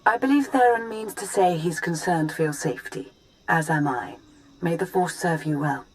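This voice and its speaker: An actress voicing a calm, dignified mystic ally in a video game, measured and formal. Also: female voice, deep voice, poor audio. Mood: serene.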